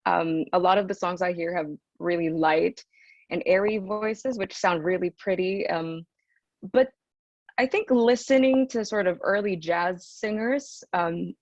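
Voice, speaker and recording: A woman with a deep, rich alto speaks hesitantly on a video call, pausing on "um" as she answers. The sound is dry and a little dull, like laptop call audio. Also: dry recording. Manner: soft-spoken, hesitant, many "um"s, laughs often. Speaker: singer, interview guest. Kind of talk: casual interview over video call.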